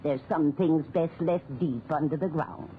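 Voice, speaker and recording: An actress playing a stubborn, superstitious village housekeeper with a folksy, scolding manner in a 1940s radio drama. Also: female voice, low-pitched, a little slow. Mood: stubborn dread. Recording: tinny, noisy 1940s radio recording.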